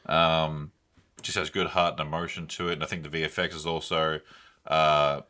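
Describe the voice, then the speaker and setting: A man co-hosting a podcast, chatting casually in an informal, slangy and halting way. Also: very deep voice, flat delivery, bright, forceful tone. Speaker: podcast co-host. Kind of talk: casual pop culture podcast chat.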